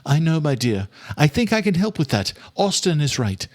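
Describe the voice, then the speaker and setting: A man voicing an older, courteous, determined male doctor in an audiobook, speaking in a formal, measured way. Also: fairly high pitch, very animated, no echo, clean studio sound, voice pushed up. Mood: reassuring, scheming. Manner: formal, measured, old-fashioned address. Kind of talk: audiobook character dialogue.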